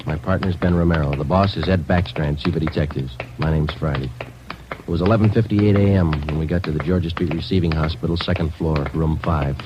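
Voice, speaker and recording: A terse American police detective in an old radio drama. He is deadpan, dogged and fast-talking. The recording is muffled and clearly noisy. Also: very deep voice. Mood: flat, matter-of-fact. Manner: deadpan, clipped, matter-of-fact. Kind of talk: 1940s radio acting, clipped hardboiled narration.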